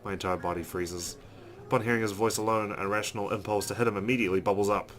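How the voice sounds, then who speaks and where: A man's voice: on the low side, steady tone, fast talker, a bit bright, a little hiss or hum. A man hosting a let's play, reading visual novel narration aloud. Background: game music.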